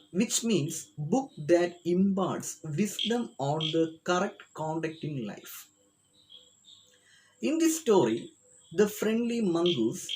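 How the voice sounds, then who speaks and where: A woman's voice: mid-range voice, a little mellow, rough recording. A woman with an Indian accent teaching a children's story line by line, rephrasing it in simple words as she explains.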